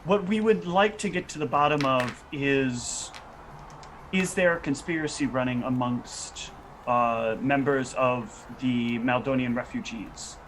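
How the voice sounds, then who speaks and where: A man with an average-pitched voice plays a tabletop roleplaying game. He improvises his part deliberately and in a businesslike manner. A little noise is behind him. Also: fairly bright tone, low-quality recording.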